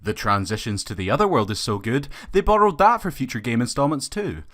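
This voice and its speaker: Male video reviewer, scripted but colloquial film commentary, animated intonation in a mid-to-low register. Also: a little fast.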